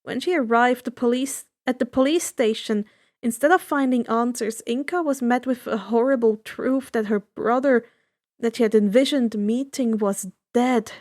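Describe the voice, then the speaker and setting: A woman's voice: a little high, normal speed, a little mellow. A woman reading fan fiction aloud as scripted story narration.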